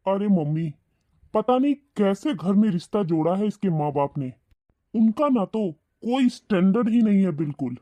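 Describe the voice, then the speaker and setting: A man's voice: high-pitched, animated, normal speed, dark tone, no echo. A man voicing a contemptuous, snobbish married son who sneers and complains in a cartoon family drama. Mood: scornful complaint. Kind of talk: animated family drama dialogue.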